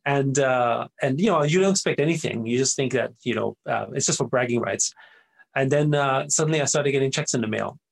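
A man's voice: medium pitch, fairly quick, gravelly. A middle-aged man from Austria, a native German speaker, talking as an interview guest in a rambling way, often tagging his sentences with "right?". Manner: rambling, tags sentences with "right?", frequent fillers and restarts. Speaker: guest entrepreneur. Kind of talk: casual interview talk.

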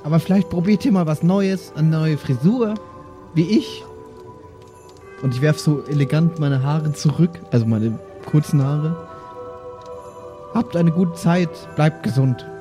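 A man's voice: on the high side, a little slow, pauses often, soft background music, natural voice. A man playing a vain, elegant adventurer in an improvised audio game, with an affected, leisurely manner. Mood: self-satisfied.

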